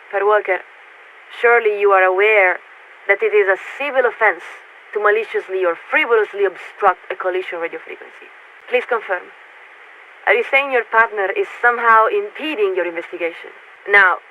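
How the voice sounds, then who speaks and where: An actress playing a formal, detached warship officer who speaks for a committee in clipped, measured phrases through a radio filter in a video game. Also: female voice, mid-range voice. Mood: stern, incredulous. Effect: radio transmission filter. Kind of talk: scripted video game voice acting. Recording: tinny, narrow-band radio with static.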